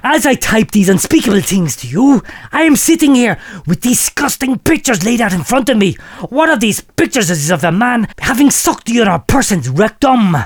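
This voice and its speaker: A man's voice: high-pitched, sing-song, normal speed, few pauses. A man hosting a comedy podcast, reading out listener letters in a mock-solemn, preacherly delivery that keeps breaking into laughter.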